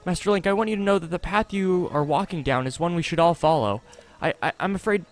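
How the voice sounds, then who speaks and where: A man reading aloud the game dialogue of a shy, lovestruck young village woman, halting and deferential. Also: high voice, natural voice. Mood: shy, earnest. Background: game music.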